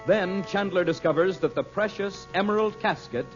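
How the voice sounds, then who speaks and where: A man's voice: sits high, moderate pace. A man narrating the recap of an old radio serial with dramatic announcer delivery. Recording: dull old radio recording with music.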